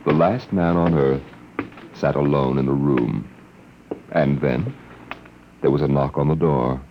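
An actor playing a grave, knowing storyteller who narrates science fiction in measured, portentous old radio style. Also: male voice, a bass voice, fairly even intonation. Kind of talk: 1950s radio anthology narration. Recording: muffled, very noisy vintage radio recording.

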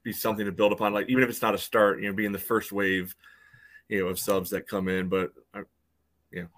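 Low, flat-toned man talking soccer. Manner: halting, peppered with "you know". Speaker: sports podcast panelist. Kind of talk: casual soccer discussion.

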